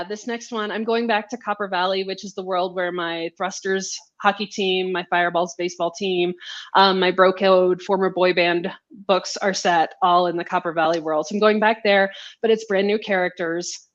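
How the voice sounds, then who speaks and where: A woman author chatting casually in a live interview, telling stories in an animated, giggly way over a video call. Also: fairly low pitch, normal speed, dull audio. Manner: chatty, animated storytelling, laughs often. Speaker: romance author being interviewed. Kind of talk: casual live book chat.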